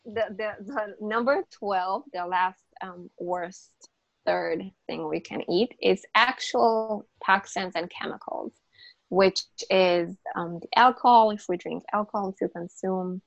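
A woman's voice: mid-range voice, measured pace, vocal fry, dry recording, muffled recording. Emphatic non-native English-speaking woman coach. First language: not English. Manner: emphatic, repeats words, many restarts and fillers. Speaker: natural health coach, podcast guest. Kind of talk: casual interview explanation.

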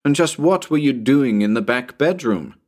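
Male narrator as firm man minding a child, impatient, sarcastic, lively intonation, storybook dialogue. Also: lowish voice, moderate pace, natural voice. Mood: stern.

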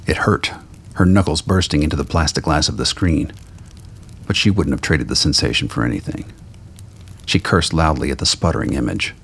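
A man reading scripted dramatic fiction as a narrator, with a gravelly, husky voice. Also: extremely low pitch.

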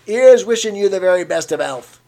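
A man's voice: sits high, lively intonation, a little fast, creaky, dark tone, pitched above the performer's own voice. A man voicing a stubborn, grumbling old London working man, dropping his aitches. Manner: querulous, pugnacious, dropped aitches.

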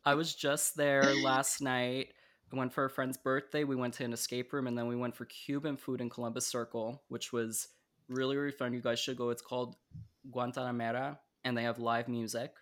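A man's voice: medium pitch, little pitch movement, fairly quick, fairly bright tone. A man in his twenties hosting a podcast, chatting enthusiastically with his guests. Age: 20s. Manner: chatty, enthusiastic, with frequent restarts. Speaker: podcast host. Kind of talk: casual interview chat.

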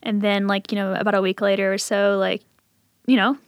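A woman's voice: medium pitch, flat delivery, fairly quick, dry recording, clean studio sound. A woman in her thirties from Appalachian southern Ohio and later Virginia, telling personal stories casually as an interview guest. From southern Ohio Appalachia, then Richmond, Virginia. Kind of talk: casual conversational storytelling.

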